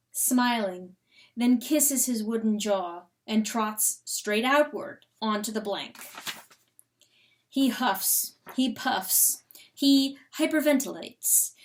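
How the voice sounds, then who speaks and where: Slow, high-voiced woman narrating dramatically. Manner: theatrical, emphatic, rhetorical questions. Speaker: audiobook narrator.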